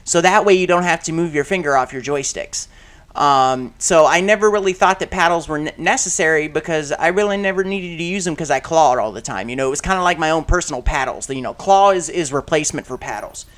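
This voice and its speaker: A man with a slightly high, raspy voice talks through a shooter game session in a loose, rambling way. He piles on fillers and restarts, and breaks off into loud shouts at the action. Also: lively intonation, a little fast. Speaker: gaming channel host. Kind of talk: casual commentary while playing a shooter game.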